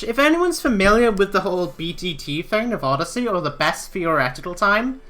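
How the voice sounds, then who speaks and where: A man's voice: fairly high pitch, sharp tone. A man from Wales whose first language is Welsh, chatting and joking casually while playing a game live. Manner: chatty, joking, self-deprecating. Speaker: speedrunner.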